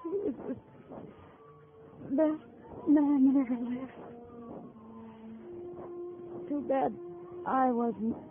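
Creaky, fading wounded widow. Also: female voice, high voice. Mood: fading, wistful. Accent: American. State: weak, faltering, near death. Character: dying, bitter, devoted gangland widow. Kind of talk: 1950s American radio drama acting. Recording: muffled, noisy old radio recording.

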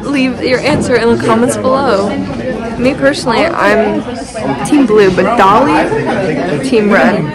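A woman's voice: fairly high pitch, measured pace. A young American woman in her late teens, chatting casually and playfully to her vlog. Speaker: vlogger. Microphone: phone at arm's length. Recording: noisy handheld vlog audio, crowd chatter behind.

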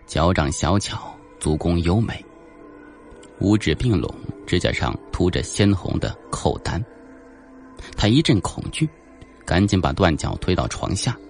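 A man's voice: deep voice, lively intonation, a little slow, faint music behind. A man narrating a suspense novel as a scripted, dramatic audiobook reading.

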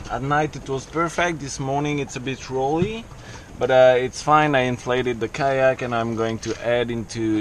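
Man, French accent, thirties, relaxed chatty video diary, loud wind and sea noise, camera at arm's length. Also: medium pitch. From France. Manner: relaxed, chatty, many fillers and restarts. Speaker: solo sailor vlogger. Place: small sailboat cockpit at sea, outdoors. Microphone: action camera held at arm's length. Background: wind and water noise.

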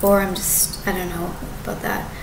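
A woman in her thirties talking to a webcam in her apartment, rambling and halting, often restarting and correcting herself. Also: lowish voice, little pitch movement. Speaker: vlogger. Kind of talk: rambling direct-to-camera monologue. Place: apartment living room with kitchen behind. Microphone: webcam at desk distance. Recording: echoey room with background noise.

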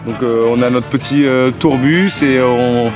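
Thirties man, relaxed halting musician interviewed outside. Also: medium pitch, over music. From Toulouse, France. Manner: relaxed, many hesitations. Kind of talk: casual interview. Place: outdoors against an old wall. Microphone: handheld mic held by interviewer.

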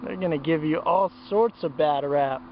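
A man's voice: on the high side, moderate pace. A man talking casually by a lake while filming, cheering and laughing as fish come in. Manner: excited, laughing, cheering on the catch. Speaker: fishing vlogger filming. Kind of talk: casual outdoor commentary. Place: lakeside outdoors. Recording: muffled, loud outdoor noise.

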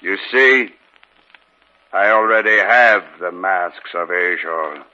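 An actor playing a courteous, menacing villain in a 1950s radio horror drama, speaking with deliberate politeness. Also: male voice, sits low, pushed and bright, exaggerated voice. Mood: coolly menacing. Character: sinister, courteous, menacing male villain with a dog. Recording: noisy, muffled 1950s radio broadcast.

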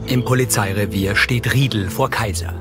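A man's voice: lowish voice, moderate pace, over loud music. A man reading scripted audio description of on-screen action in a neutral, factual manner.

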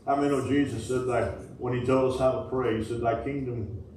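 A middle-aged man, preaching a sermon in a folksy call-and-response style, with sudden loud bursts. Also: medium pitch, fairly even intonation, fairly quick, slight background noise. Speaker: pastor. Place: small wooden-walled church sanctuary. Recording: echoey church from a distance.

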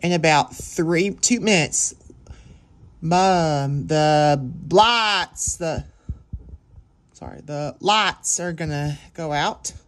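A man's voice: a little high, drawn-out words. Rambling young man filming on his phone. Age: young adult. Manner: rambling, excitable, apologetic. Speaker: vlogger. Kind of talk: casual off-camera commentary. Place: home workshop. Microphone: phone camera held by the filming speaker.

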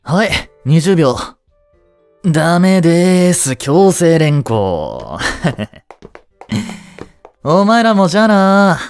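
A man acting a cheeky, laid-back high-school slacker in a romantic audio drama, with casual, teasing, blunt slang. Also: sing-song, drawn-out words, long pauses, darkish tone, clear, resonant tone, no room sound, soft background music, full character voice. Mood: playful, bossy.

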